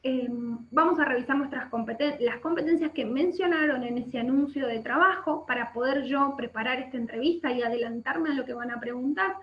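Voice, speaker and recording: High-voiced Argentine woman teaching online. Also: a little fast. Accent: Argentine Spanish. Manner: explanatory, with frequent check-in questions. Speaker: workshop instructor. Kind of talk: instructional webinar talk. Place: indoor room by a window. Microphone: webcam video call. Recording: muffled, echoey video-call audio.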